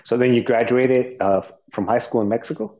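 A man's voice: mid-range voice, fairly even intonation, moderate pace, muffled recording. A man interviewing a guest in casual conversation, friendly, with rambling questions and restarts.

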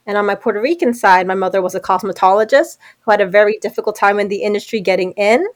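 A woman's voice: medium pitch, fast talker. A young woman from Pennsylvania, an esthetician and podcast guest, giving thoughtful, articulate answers in an interview. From Pennsylvania, United States. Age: young adult.

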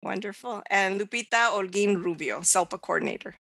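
A woman's voice: medium pitch, a little fast, creaky, fairly bright tone, no echo. A woman from the United States hosting a podcast, giving prepared introductions. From United States, child of Mexican immigrants. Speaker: podcast host.

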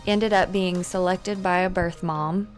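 A woman's voice: lowish voice, steady tone, a little slow, soft background music. A woman with an Alabama Southern accent hosting an interview, friendly and laughing along with her guest. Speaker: podcast host.

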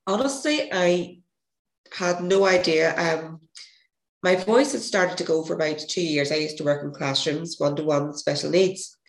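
A woman with a gravelly, hoarse voice, a podcast guest telling a rambling story and correcting herself as she goes. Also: low voice, unhurried. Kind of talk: casual interview storytelling. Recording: echoey room.

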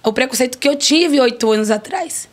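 Woman, 40s, podcast guest, higher voice, playful emphatic storyteller. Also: fairly quick. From Brazil. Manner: lively, joking, emphatic storyteller. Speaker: restaurant owner guest. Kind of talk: casual podcast interview.

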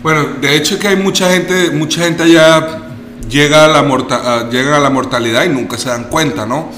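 A man's voice: fairly high pitch, steady tone, a little fast, a bit bright, reverberant, a little hiss or hum. A man from Venezuela, a guest in a casual interview, talking in a rambling way with many restarts and tag questions.

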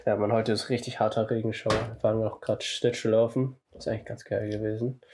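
A young man with a cold, chatting casually as a podcast co-host, slangy and quick to laugh. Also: fairly low pitch, flat delivery, background noise. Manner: slangy, laughs a lot, restarts sentences. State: has a cold. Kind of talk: casual chat.

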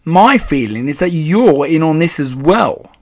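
A man's voice: fairly high pitch, expressive, a little slow, voice pushed up. A man voicing an angry, blustering middle-aged English father in a storybook, rude and spluttering. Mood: angry, suspicious. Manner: rude, shouting, spluttering, stammering when shocked.

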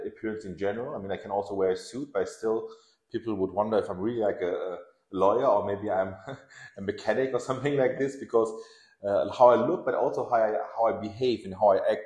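Low-voiced guy in his 40s, chatty, lots of restarts, roomy echo. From Iran. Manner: relaxed, restarts often, laughs while telling stories. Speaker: podcast guest, lawyer. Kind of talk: casual interview.

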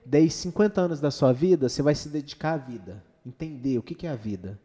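A man's voice: fairly high pitch, fast, mellow. A man with a Brazilian accent speaking casually and with opinion in podcast talk, slangy and full of rhetorical check-in questions. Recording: reverberant hall-like room.

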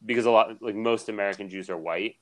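A man's voice: on the low side, quick pace, rough recording. A young man co-hosting a casual podcast chat, talking earnestly with many restarts and filler words. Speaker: podcast co-host.

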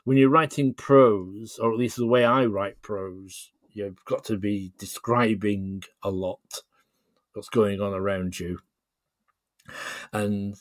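A man's voice: fairly low pitch, steady tone, slow pace, dry recording. A man chatting as an interview guest, explaining things in a thoughtful, hesitant way. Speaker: podcast guest, writer. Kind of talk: casual interview chat.

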